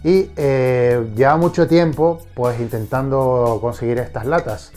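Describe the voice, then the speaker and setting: A man's voice: mid-range voice, fairly even intonation, measured pace, mellow, soft background music. Stuffy-nosed man. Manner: enthusiastic, many restarts. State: congested with a cold, nasal. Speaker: collector vlogger. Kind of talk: casual vlog.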